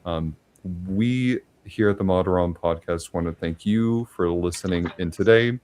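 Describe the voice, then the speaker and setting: A male podcast host who is losing his voice, thanking the listeners in a halting way with many fillers. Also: low-pitched. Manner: warmly grateful, halting with fillers. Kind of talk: podcast outro.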